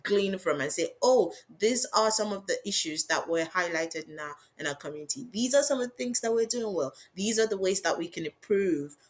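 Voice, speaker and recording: A woman's voice: mid-range voice, expressive, normal speed, hardly any pauses. A woman panelist speaking with a strong, emphatic voice in a panel discussion.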